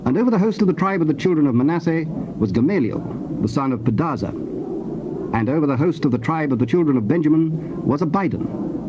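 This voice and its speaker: Guy reading scripture, measured and formal, mid pitch, muffled noisy old tape. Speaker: narrator. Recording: dull noisy tape transfer.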